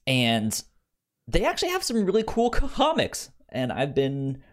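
A man's voice: medium pitch, wildly swooping pitch, measured pace. A man co-hosting a podcast, chatting casually about books and often restarting and repeating his words as he goes. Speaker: podcast co-host. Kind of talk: casual book discussion.

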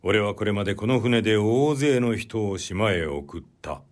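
Storybook samurai-era escort officer, played by a male reader: lowish voice, stern but humane, measured, quietly puzzled. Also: lightly acted. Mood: curious, probing. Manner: measured, old-fashioned speech of a superior. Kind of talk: literary audiobook character dialogue.

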